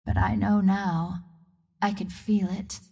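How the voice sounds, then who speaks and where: Casting: young female archer hero in a fantasy game, mid-pitched, wistful and reflective, measured dramatic monologue. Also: level tone, vocal fry, dark tone, husky, full character voice. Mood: grave, storytelling. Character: young female wind archer, wistful orphan seeking truth. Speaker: video game hero character. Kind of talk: dramatic first-person monologue.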